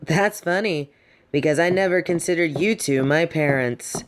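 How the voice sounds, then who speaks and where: A woman's voice: deep voice, normal speed, background noise, lightly acted. A young woman acting in a scripted audio roleplay as a defiant, fed-up adult daughter of a snobby rich family, with a sardonic, emphatic delivery. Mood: angry, defiant.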